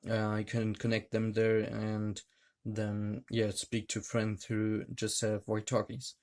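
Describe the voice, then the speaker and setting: A man reviewing a gadget hands-on, talking in a hesitant, halting way with many fillers. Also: lowish voice, monotone, a little mellow. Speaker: tech reviewer. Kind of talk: hands-on product demonstration.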